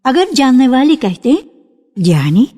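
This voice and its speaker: A woman reading a literary story for radio in a measured, expressive narrating style, on an archival recording. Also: a little high, breathy edge. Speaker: narrator of a dramatized short story.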